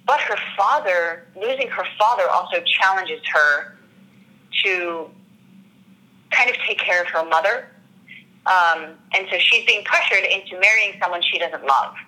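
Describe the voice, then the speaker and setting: An actress in an interview, talking through her character in an earnest, reflective way and often pausing to search for words. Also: fairly low pitch, bright, forceful tone, low-quality recording. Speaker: actress discussing her role. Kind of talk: interview answers.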